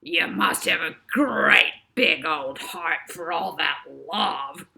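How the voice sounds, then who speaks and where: A woman voicing a gushing male TV chat-show host with a very deep, gravelly, booming voice in an audiobook. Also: sharp tone, exaggerated voice. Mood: effusive. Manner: booming, drawn-out showman delivery.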